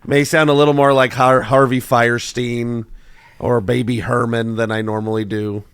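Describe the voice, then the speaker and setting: Hoarse, gravelly man hosting a podcast. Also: medium pitch, level tone, moderate pace, a bit bright, dry recording, clean studio sound. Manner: chatty, thinks aloud with many fillers. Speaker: podcast host. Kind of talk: casual quiz chat.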